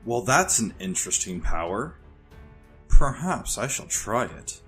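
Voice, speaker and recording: A man voicing an imperious, menacing warlord villain in a fantasy audiobook, speaking in a deliberate, formal manner. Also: lowish voice, very animated, fairly bright tone, faint music behind. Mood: coolly menacing.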